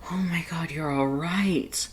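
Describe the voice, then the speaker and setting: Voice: medium pitch, takes it slowly, slight background noise, pitched above the performer's own voice, natural voice. A narrator voicing a protective, exasperated male bartender made of fire, scolding emphatically in a fan fiction reading. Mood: relieved, frantic.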